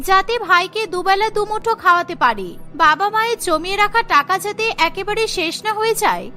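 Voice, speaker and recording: A woman's voice: very high-pitched, pushed and bright. A woman narrating a scripted cartoon story.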